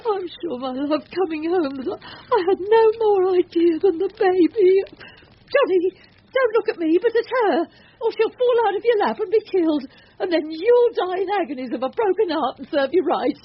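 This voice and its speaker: A woman's voice: extremely high pitch, lively intonation, normal speed. A woman playing a flustered, remorseful working-class Victorian wife and mother, tearful and fretful, in an old-time radio drama. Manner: tearful, fretful, sighing exclamations. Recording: muffled, hissy old radio drama recording.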